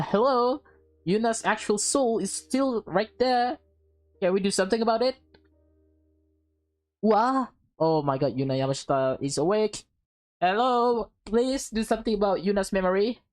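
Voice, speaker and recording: A woman streamer reacting excitedly as she plays and reads a visual novel aloud. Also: medium pitch, normal speed. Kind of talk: live game reaction and read-aloud. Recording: game music behind the voice. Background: game music.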